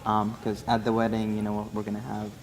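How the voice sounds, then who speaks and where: A man's voice: on the low side, monotone, quick pace, low-quality recording. A young man from Syria, engaged, chatting casually at a table in a hotel room and laughing lightly now and then. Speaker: groom-to-be interviewee. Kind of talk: casual chat. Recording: music playing under the voice.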